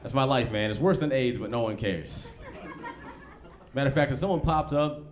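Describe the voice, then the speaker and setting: A man's voice: mid-range voice, animated, normal speed. A forty-year-old American man doing conversational, slangy, profane stand-up comedy in a laid-back way, live in a club. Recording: live club recording with background noise.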